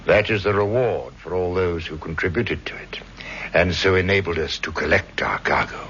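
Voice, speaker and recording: Cold smooth mastermind guy, deep-ish, polite but menacing, muffled old radio drama. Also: normal speed, a bit bright. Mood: smooth, assured. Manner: silky, formal, menacing politeness. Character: suave, cold-blooded male criminal mastermind. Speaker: actor in a radio crime serial. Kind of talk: vintage radio drama villain acting. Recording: dull, hissy vintage radio recording.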